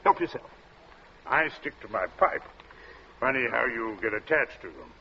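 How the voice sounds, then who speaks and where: An actor playing a genial, smoothly dissembling middle-aged gentleman in an old radio drama, hemming affably. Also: mid-range voice, big pitch swings, moderate pace. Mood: genial, soothing. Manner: affable, hemming, affectedly casual. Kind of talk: golden age radio drama acting. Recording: dull, hissy old radio recording.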